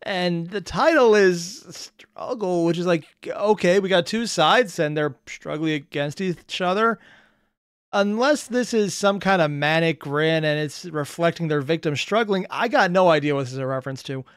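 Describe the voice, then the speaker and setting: Higher-voiced man giving casual reaction commentary. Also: moderate pace, smooth, no echo, clean studio sound. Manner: rambling, wry asides to viewers. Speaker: reaction video host. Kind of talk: casual live commentary with slang and swearing.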